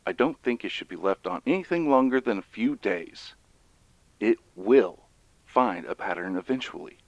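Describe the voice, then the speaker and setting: A man's voice: fairly low pitch, animated, moderate pace, vocal fry, no room sound, muffled recording. An actor playing an earnest male professor who explains urgently and emphatically in an audio drama. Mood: grave warning. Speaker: professor, researcher. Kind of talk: dramatized audio fiction monologue.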